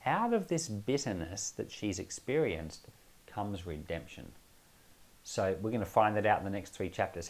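Conversational man teaching the Bible. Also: on the low side. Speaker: pastor and Bible podcast host. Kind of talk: informal Bible teaching with commentary and prayer.

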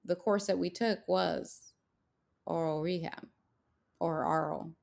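A woman's voice: lowish voice, fairly even intonation, slow pace, creaky, a little mellow. A woman whose first language is Haitian Creole, co-hosting a podcast and talking with passion and emphasis. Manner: passionate, emphatic, rhetorical questions. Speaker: podcast co-host. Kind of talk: casual podcast conversation.

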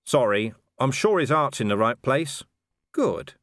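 A man's voice: mid-range voice, sing-song, measured pace, fairly bright tone, dry recording, clean studio sound, pitched above the performer's own voice. A man voicing a sardonic male commander, a reluctant nobleman, curt and exasperated, in a storybook reading.

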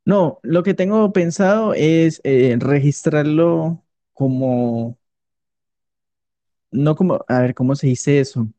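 A man's voice: on the high side, takes it slowly, dark tone. A man with a Colombian accent chatting casually and jokily as a streamer being interviewed. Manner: jokey. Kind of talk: casual improvised chat.

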